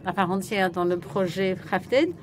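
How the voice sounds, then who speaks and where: A woman's voice: medium pitch, unhurried, noisy background. A woman relaying and repeating questions to a remote panel guest as interpreter.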